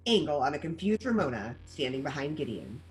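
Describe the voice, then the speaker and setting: Animated narrator reading stage directions. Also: mid-range voice, a little fast, rough recording. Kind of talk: scripted narration for a table read.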